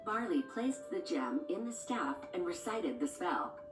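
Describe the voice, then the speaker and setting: A woman's voice: lowish voice, sing-song, measured pace. A woman reading a scripted children's storybook as a read-along narrator, with music behind her. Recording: roomy, distant sound with music underneath.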